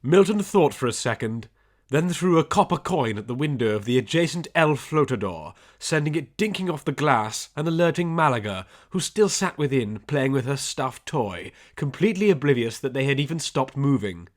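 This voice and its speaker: A man's voice: mid-range voice, lively intonation, normal speed. Man narrating an adventure audiobook. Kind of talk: scripted dramatic story narration.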